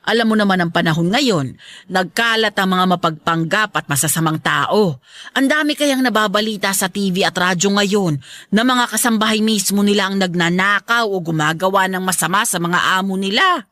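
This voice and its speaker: A woman's voice: medium pitch, moderate pace, pushed and bright, no room sound, close to an everyday voice. A woman playing a protective, frugal, aging mother in a radio drama, chatty and affectionately stubborn. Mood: stubborn, wary. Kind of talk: Filipino radio drama acting.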